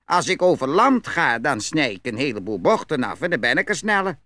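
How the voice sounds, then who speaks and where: A man's voice: a little high, sing-song, brisk, bright, forceful tone, dry recording. A man playing a sly young peasant trickster in a fairy-tale audio play, glib and falsely innocent. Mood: sly, breezy. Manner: glib, storytelling, feigned innocence.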